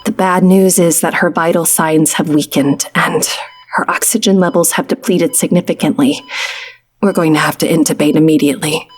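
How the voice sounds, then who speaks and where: An actress playing a grave, matter-of-fact hospital doctor in an audio drama, with a husky voice. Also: female voice, deep voice, fairly even intonation, moderate pace, faint music behind.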